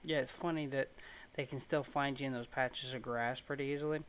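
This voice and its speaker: A man's voice: medium pitch, steady tone, brisk, a little hiss or hum. A man giving casual gaming commentary in an offhand, mildly grumbling way, with some swearing. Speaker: gameplay video host.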